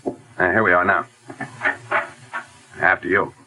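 A man's voice: low-pitched, steady tone, a little slow, poor audio. An actor playing a chatty former vaudeville man who now runs a revue, talking in folksy slang in a 1940s radio drama. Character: eager ex-vaudeville revue leader, adult man.

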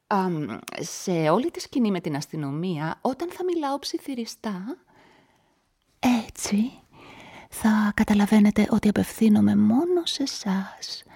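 An actress narrating a radio play in the first person as a nervous events manager, often dropping into confiding whispers. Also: female voice, mid-range voice, big pitch swings, dark tone, husky. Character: nervous, talkative female events manager caught up in a murder.

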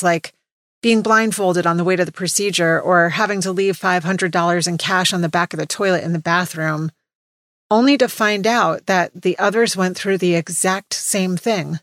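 A woman with a fairly low voice reads scripted podcast narration as the host. The recording is dry and close, with next to no room around her. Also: fairly bright tone, dry recording. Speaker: podcast host introducing stories.

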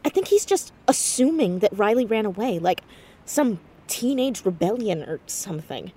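An actress playing an inquisitive, headstrong teenage girl in an audio drama, insistent and quick to get defensive. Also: medium pitch, very animated, mellow, breathy edge. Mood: probing, wistful. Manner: stammers when cornered, insistent. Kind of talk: naturalistic audio drama acting.

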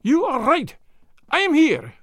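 A man's voice: very high voice, huge pitch swings, measured pace, fairly bright tone, dry recording, clean studio sound, voice pushed up, exaggerated voice. A man voicing a dignified, defiant foreign woman with a foreign accent, in a storybook reading. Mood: desperate, proud.

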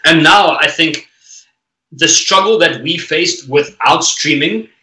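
A man with a bright, forceful voice, hosting a relaxed conversation. He muses aloud, leaning on "I mean" and "like" as he works through his thoughts. His voice rings in an echoey room. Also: a little high, slow. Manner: musing, filler-heavy "I mean", "like". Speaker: podcast host. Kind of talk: casual chat.

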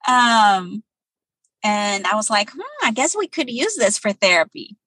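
Woman guest in her thirties, bright husky tone, dry close sound, measured answers with fillers. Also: mid-range voice, big pitch swings, no echo. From Brazil. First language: Portuguese. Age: 30s. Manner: thoughtful, many um pauses. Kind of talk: interview answers.

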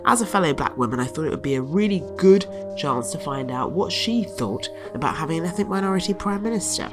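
A woman with a slightly low voice hosts a relaxed talk. She tells stories with energy, laughs easily and acts out remarks in quotes. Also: fast talker, music in the background. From West London. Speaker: guest host. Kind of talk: casual conversational storytelling.

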